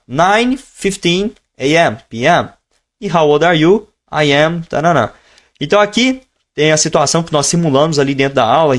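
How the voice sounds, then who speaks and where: A man with a somewhat high voice teaches a live online lesson over a computer mic. He explains in a relaxed, chatty way, then draws out each example phrase slowly and clearly. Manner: switches between teaching tone and slow, drawn-out modeled phrases. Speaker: English teacher.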